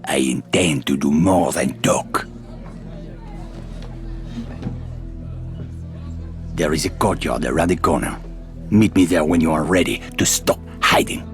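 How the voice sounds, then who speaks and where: Very deep-voiced, goading French resistance man. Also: moderate pace, soft background music. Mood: grave. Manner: provoking, theatrical. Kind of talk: dramatic video game acting.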